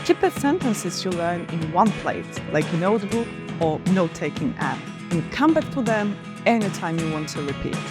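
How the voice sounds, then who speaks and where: A woman teaching an online English lesson, clear, upbeat and encouraging, slowing down to stress example phrases. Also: on the low side, big pitch swings, music in the background.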